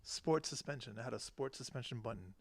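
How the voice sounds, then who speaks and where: A man's voice: medium pitch, brisk, gravelly, dry recording. A man from Cuba talking as a podcast guest, telling personal stories in casual, informal language. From born in Cuba, left as a small child. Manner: slangy, informal. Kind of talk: casual chat, personal storytelling.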